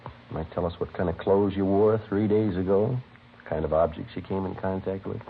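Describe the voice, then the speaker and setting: An actor playing a terse, methodical American police sergeant, matter-of-fact and procedural, in 1950s radio drama style. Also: male voice, sits low, fairly even intonation, fairly quick, creaky, narrow, tinny audio. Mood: businesslike. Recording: scratchy, band-limited vintage radio recording.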